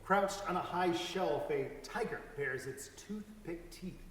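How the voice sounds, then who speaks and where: A man's voice: a little high, takes it slowly, a bit bright. A man giving a scripted dramatic reading of a first-person story. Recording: echoey hall, distant mic, faint hiss.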